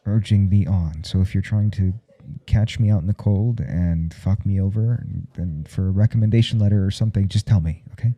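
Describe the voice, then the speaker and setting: A man playing a blunt, intimate professor in an ASMR audio roleplay, speaking in a halting, confiding way with a teasing, domineering edge. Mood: tense, insistent. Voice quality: low, gravelly, soft and dark. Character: adult male professor, intimate, callous, earnest, teasingly domineering.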